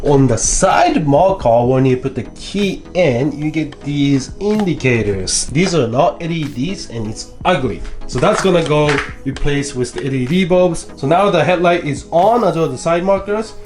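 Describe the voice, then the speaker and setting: A young man with a cold-stuffed nose talks through a motorcycle repair in his home garage, his voice sitting a little high. He keeps it casual and explains each step as he works, while faint music plays underneath. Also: animated, moderate pace, hardly any pauses. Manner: chatty, explains steps as he works. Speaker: motorcycle vlogger. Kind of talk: casual how-to vlog.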